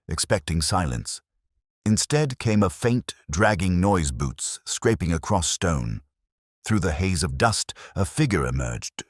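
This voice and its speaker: Very deep-voiced male mystery narrator. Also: no echo, studio quality. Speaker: audiobook narrator. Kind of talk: dramatic scripted mystery narration.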